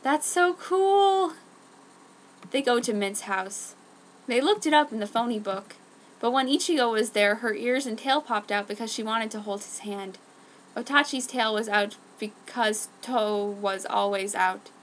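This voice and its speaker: A woman's voice: a little high, moderate pace, slight background noise, poor audio. A woman reading fan fiction aloud in an exaggerated, mock-serious dramatic style. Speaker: amateur fan fiction reader.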